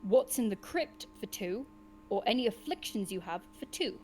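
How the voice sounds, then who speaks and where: A woman hosting and narrating an interactive gamebook, mixing expressive storybook reading with casual asides. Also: medium pitch, moderate pace, slight background noise. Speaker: host and narrator of a gamebook session.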